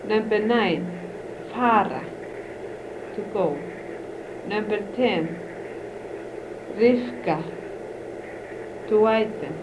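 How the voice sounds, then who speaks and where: A woman from Iceland whose first language is Icelandic, slowly reading a numbered word list aloud and glossing each word. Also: mid-range voice. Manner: slow, careful, deliberate reading. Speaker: language informant. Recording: dull old tape with clear hiss.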